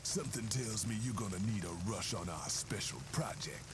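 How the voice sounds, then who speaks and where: An actor playing a cool, imposing underworld barkeeper in a video game, laconic and dryly threatening. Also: male voice, fairly low pitch, moderate pace, over music, lightly acted. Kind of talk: cinematic video game voice acting.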